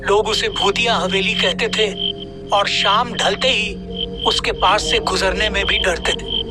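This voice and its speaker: A man narrating a scripted horror tale in a slow, measured storytelling manner. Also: sits high, steady tone. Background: loud music.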